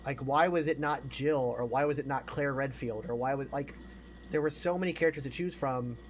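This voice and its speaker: A man's voice: medium pitch, a little fast. A man in his forties with a mid-range voice talks casually as a podcast co-host. He is emphatic and opinionated and repeats phrases for weight. Some background noise sits behind him. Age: 40s. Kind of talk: casual chat about movies.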